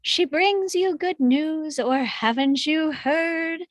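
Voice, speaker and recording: A woman playing a gentle, regal good witch in a read-aloud musical film script, with measured storybook sweetness. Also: high voice, no echo. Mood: sweet, announcing. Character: gentle, regal good witch coaxing timid little folk.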